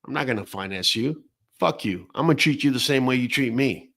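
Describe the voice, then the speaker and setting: A man's voice: mid-range voice, lively intonation, brisk, fairly bright tone. A man born in Venezuela, hosting a late-night talk show and giving blunt, profane opinions in a casual ranting way. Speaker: host.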